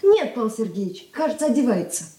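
An actress playing a brisk, mischievous schoolgirl in a radio drama, girlish and chattering. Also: fairly high pitch, sing-song, mellow, slight background noise. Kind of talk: Soviet radio drama stage acting.

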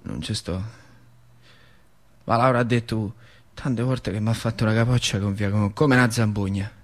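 An actor playing an excitable working-class factory worker, telling his story in a central Italian accent with repeated phrases for emphasis. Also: on the low side, unhurried, long pauses, close to an everyday voice. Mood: weary, confiding. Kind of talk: theatrical monologue in dialect.

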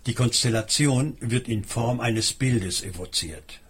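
A man reading a scripted documentary narration in a measured, explanatory manner. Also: mid-range voice, a bit bright, husky.

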